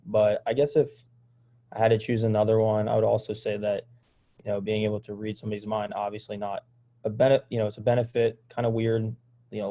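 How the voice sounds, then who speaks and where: A man's voice: fairly low pitch, dark tone. A young man from Maryland, a medical student, introducing himself casually and rambling with many fillers. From Annapolis, Maryland. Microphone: video call.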